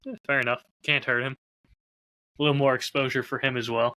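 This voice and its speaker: A man chatting casually as a podcast co-host in a low-key, measured, slightly hedging way. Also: level tone, sharp tone. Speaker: podcast co-host and audio drama creator.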